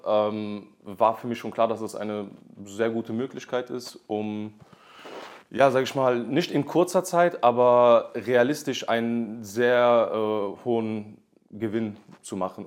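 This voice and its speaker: Man in his thirties, deep-ish voice, relaxed, lots of fillers, podcast guest. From Germany. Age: 30s. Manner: relaxed, many fillers and restarts. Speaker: podcast guest, real estate agent. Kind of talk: casual podcast interview.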